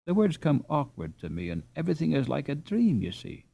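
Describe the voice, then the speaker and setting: Man reading as a halting, dazed injured husband, animated pitch. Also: vocal fry, slightly rough, lo-fi sound, exaggerated voice. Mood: dazed, groping. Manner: halting, groping for words. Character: injured, dazed Dutch husband straining to remember. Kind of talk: audiobook character dialogue.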